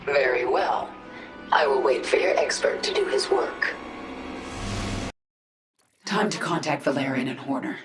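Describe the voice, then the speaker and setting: A woman's voice: rumbling low, animated, measured pace, pauses often. An actress playing a cold, imperious alien queen in a video game, speaking tersely and with authority. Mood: impatient resolve. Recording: echoing voice over game music.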